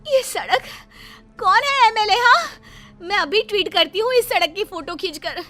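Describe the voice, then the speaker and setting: A woman voicing a brash, outspoken young daughter-in-law in an animated moral story, indignant and assertive. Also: very high-pitched, moderate pace, faint music behind, pitched above the performer's own voice, full character voice.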